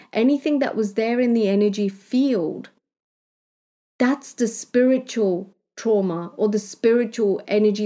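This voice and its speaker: A woman from Kenya, a spiritual healer, talking in a slow, deliberate monologue that she punctuates with "right?". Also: mid-range voice, warm tone. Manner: slow, deliberate, with tag questions. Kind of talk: reflective monologue.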